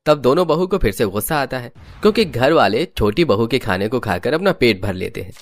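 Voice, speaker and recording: A man's voice: medium pitch, big pitch swings, brisk, slight background noise. A man reading scripted storybook narration for a cartoon. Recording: music under the voice.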